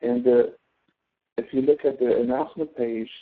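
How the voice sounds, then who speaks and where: A man's voice: mid-range voice, steady tone, normal speed. A man teaching as a professor in an informal tutoring walkthrough, explaining step by step and often checking in with "okay?". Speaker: professor teaching an online class. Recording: thin phone-like audio.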